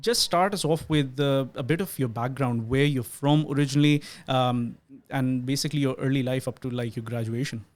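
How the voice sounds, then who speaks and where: Male podcast host, earnest, rambly, lots of fillers, ordinary mid pitch. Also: moderate pace, few pauses. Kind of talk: interview podcast intro and questions.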